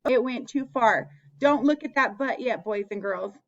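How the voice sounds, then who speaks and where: A woman's voice: on the high side, moderate pace, dull audio. A woman hosting an interactive picture-book read-aloud for young children, asking them questions and encouraging them as she goes.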